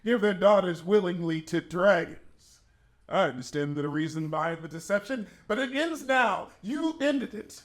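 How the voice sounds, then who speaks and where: A man's voice: high-pitched, expressive, normal speed, fairly bright tone. A man playing a stern, protective king and father in a fantasy script, firm and authoritative. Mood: angry, commanding. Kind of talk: table read of fantasy screenplay.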